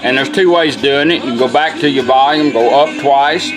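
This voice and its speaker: A man's voice: mid-range voice, a little fast, over music, low-quality recording. A man giving a casual, plain-spoken, step-by-step hands-on tutorial. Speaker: product demonstrator, radio restorer. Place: indoor workbench.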